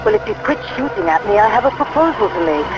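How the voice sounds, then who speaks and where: An actor playing a dry, formal Imperial officer turned rebel, speaking over the radio in a video game. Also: male voice, high voice, sing-song, fast. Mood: persuasive. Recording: lo-fi game radio, loud music.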